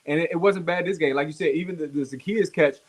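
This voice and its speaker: A man co-hosting a live sports post-game show in a measured, steady way. His voice sits a little high for a man. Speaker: podcast co-host. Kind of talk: casual sports talk.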